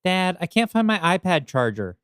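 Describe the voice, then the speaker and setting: A man's voice: sits high, big pitch swings, moderate pace, a bit bright, clean tone, no room sound, studio quality. A man reading the part of an anxious nine-year-old daughter in a script read, plainly asking questions. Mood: worried. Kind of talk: amateur script table read.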